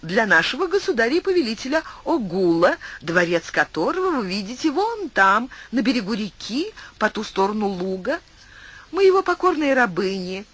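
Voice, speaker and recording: A man acts out a busy slave woman in a classic tale. The voice sits very high and moves animatedly, earnest and hurried in manner. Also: very animated, fairly bright tone, husky, exaggerated voice. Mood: earnest, eager. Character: busy female Syrian slave searching a meadow.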